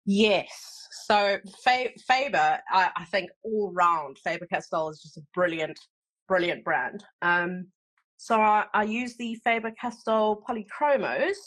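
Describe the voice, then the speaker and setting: A woman's voice: medium pitch, a little slow, a bit bright. Chatty woman with a South African twang. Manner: chatty, rambling with fillers and restarts. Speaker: guest artist. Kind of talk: casual podcast chat.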